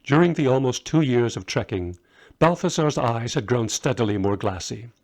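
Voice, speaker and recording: Man, author narrator, scripted storytelling, middle-pitched voice. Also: animated, moderate pace, darkish tone. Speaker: author reading own short story.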